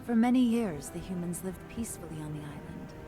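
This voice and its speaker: A woman playing a grave, wise mystical storyteller in a video game, speaking in a measured, dramatic way. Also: fairly low pitch, expressive, lightly acted. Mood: dramatic, somber. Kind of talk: scripted video game acting and narration. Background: loud music.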